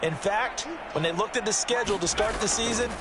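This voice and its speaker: A man's voice: sits high, a little fast, background noise. A male color commentator explaining American football plays in a conversational, analytical way.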